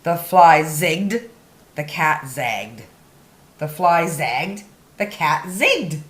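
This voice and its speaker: A woman hosting story time, reading a picture book aloud to young children with deliberate, dramatic emphasis on key words. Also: low voice, big pitch swings. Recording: roomy, echoey indoor sound.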